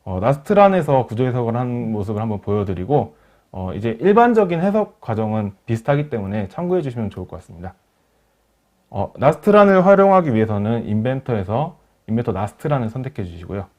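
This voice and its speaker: A man narrating a software tutorial as a webinar instructor, explaining each step in a polite, formal way. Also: mid-range voice, sing-song, quick pace. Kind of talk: software tutorial narration over screen demo. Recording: dull lo-fi voice recording.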